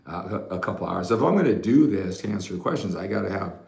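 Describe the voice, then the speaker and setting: A man's voice: low voice, animated, quick pace, vocal fry, darkish tone. A mature American man who works as a fitness coach, chatting casually to livestream viewers in a rambling way, often tagging his sentences with "right?". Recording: roomy, echoey room sound.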